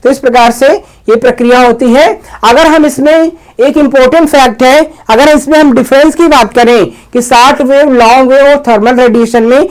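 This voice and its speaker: A man's voice: very high-pitched, fairly even intonation, moderate pace, hardly any pauses, low-quality recording. A man lecturing to students, explaining point by point, asking rhetorical questions and answering them himself, often repeating each point. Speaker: teacher giving an agriculture science lecture.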